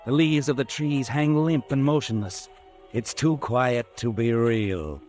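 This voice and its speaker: A man's voice: medium pitch, measured pace, a little mellow, faint music behind. A man narrating in second person, soothing and eerie, in an old radio drama.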